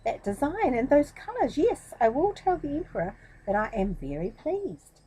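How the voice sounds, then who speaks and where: An honest old minister from a fairy tale, flustered and fretful, as a woman reader puts him on. The pitch is lifted and very animated, with exclamations and a soft-edged tone. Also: moderate pace, low-quality recording. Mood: feigned delight. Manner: worried, exclaiming, self-doubting.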